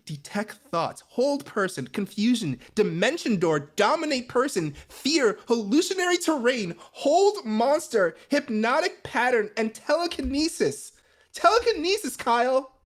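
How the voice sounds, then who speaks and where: Animated, emphatic voice with wide swings in pitch. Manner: emphatic, excitable, informal swearing. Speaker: podcast panelist. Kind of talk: animated tabletop gaming discussion.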